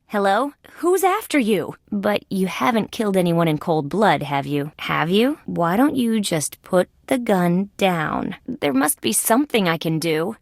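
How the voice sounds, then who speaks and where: A woman playing a young, wry, determined female insect police detective in a scripted adventure video game, with conversational, clearly spoken patter. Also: mid-range voice, no echo, studio quality. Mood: anxious pleading.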